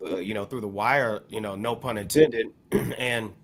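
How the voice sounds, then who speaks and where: A man co-hosting a casual podcast discussion, speaking in a measured, halting way as he builds his points. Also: on the low side, fairly bright tone. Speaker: podcast co-host.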